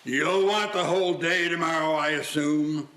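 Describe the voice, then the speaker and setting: An actor playing a scornful, grudging old miser in a radio-play style live reading. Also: male voice, on the high side, steady tone, moderate pace, a bit bright, close to an everyday voice. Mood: grudging, indignant. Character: miserly, grumbling old man, scornful businessman. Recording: noticeable background noise, live performance.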